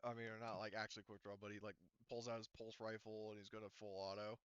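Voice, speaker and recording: Male game master, bright forceful voice in a dry space; casual, halting, salty-tongued delivery for tabletop play. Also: fairly low pitch, little pitch movement, clear, resonant tone, dry recording, highs cut off. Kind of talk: improvised narration and rules talk in an online tabletop roleplaying game.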